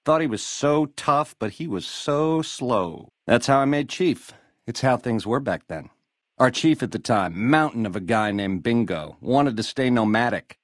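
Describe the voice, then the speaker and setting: Guy doing a cocky american casino gangster from a video game, slick retro swinger talk, pitch jumps all over, dry close sound. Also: expressive, unhurried, no room sound, close to an everyday voice. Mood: boastful, reminiscing. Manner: swaggering retro lounge-lizard slang, calls people baby. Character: slick, cocky male casino gangster boss, smooth-talking schemer. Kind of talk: video game character dialogue.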